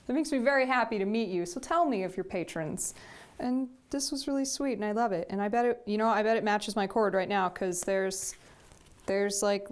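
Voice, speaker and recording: A young woman chatting casually as she opens fan gifts, gushing and repeating herself. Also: a little high. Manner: gushing, repeats "sweet" often. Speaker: vlogger. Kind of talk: casual gift unboxing chat.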